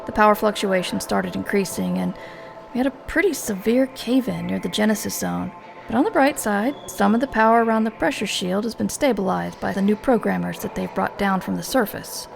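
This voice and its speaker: A woman playing a breezy, affectionate artist in an audio drama, with a creaky, gravelly voice and a familiar manner. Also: medium pitch, fairly quick, faint music behind. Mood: concerned, warm. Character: warm, breezy slender woman artist greeting her friend.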